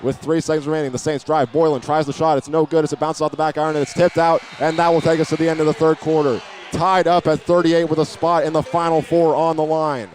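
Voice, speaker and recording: A man calling live high school basketball play-by-play as a broadcaster from inside a gym. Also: little pitch movement, quick pace, hardly any pauses, a little mellow. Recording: faint gym noise behind the voice.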